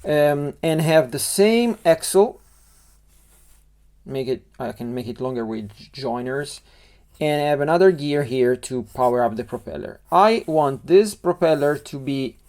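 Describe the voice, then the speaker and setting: A man's voice: a little high, lively intonation, darkish tone. Italian man thinking aloud as he designs. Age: middle-aged. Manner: halting, restarts words while sketching. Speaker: LEGO model designer.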